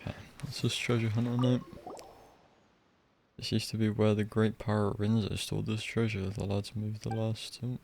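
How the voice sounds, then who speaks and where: A man's voice: on the low side, level tone, moderate pace, vocal fry, darkish tone, slight background noise. A man streaming a game live, giving casual, offhand commentary in a flippant, muttering way.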